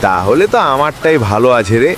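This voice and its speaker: A man's voice: mid-range voice, lively intonation, brisk, music in the background, natural voice. A man voicing a lazy, whiny, grumbling village husband in a cartoon. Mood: chummy. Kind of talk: cartoon voice acting.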